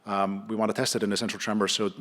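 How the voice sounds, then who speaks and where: A middle-aged man with an Austrian accent giving an informal conference presentation, haltingly, with repeated words and many hesitations. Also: lowish voice, flat delivery, fairly bright tone. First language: German. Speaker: conference speaker, neurologist and clinical trialist. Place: conference hall, speaking to an audience. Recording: reverberant hall sound.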